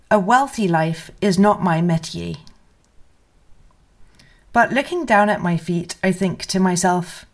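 A woman's voice: medium pitch, a little mellow. A woman narrating a first-person memoir as a scripted literary reading. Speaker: reader of memoir excerpts.

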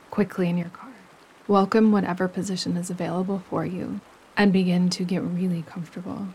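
A woman leading a guided relaxation meditation as a yoga nidra teacher, speaking gently and soothingly, with pauses between phrases. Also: fairly low pitch, creaky, breathy edge, poor audio. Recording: steady running stream water behind voice. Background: gentle running stream sounds.

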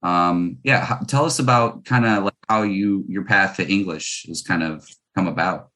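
A man's voice: low-pitched, normal speed, bright, forceful tone. A man interviewing a guest in a casual conversation, drawing her out and offering frequent short backchannels.

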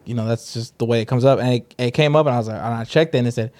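A man's voice: medium pitch, very fast, a little mellow. A young man co-hosting a podcast, telling a story in laid-back slang-heavy casual chat. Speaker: podcast co-host.

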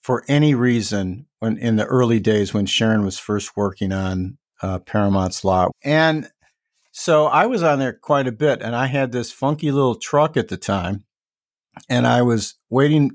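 A man co-hosting a podcast, telling stories with dry, joking humor, his voice deepened by a cold. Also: a little slow, no echo. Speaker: podcast co-host. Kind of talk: casual podcast chat.

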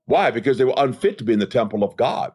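Guy preaching a fiery sermon on a podcast, husky voice, goes up and down a lot, hammers phrases over and over. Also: medium pitch, animated, quick pace, darkish tone. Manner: emphatic, repetitive rhetorical cadence. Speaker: evangelist preacher, podcast host.

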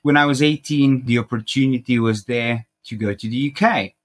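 A young man from Croatia, a podcast guest, chatting casually and telling an animated travel story, laughing at himself and acting out quotes. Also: medium pitch, normal speed.

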